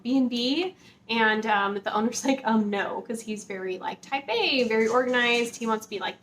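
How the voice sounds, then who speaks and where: A woman's voice: fairly high pitch, fairly bright tone. A woman chatting casually to viewers as a book vlogger, informal and conversational, with lots of filler words. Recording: clear background noise.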